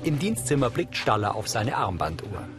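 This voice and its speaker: A man reading scripted audio description of a TV film in a measured, matter-of-fact way. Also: lowish voice, sing-song. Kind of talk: scripted narration of a TV film for blind listeners. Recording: music bed behind the voice.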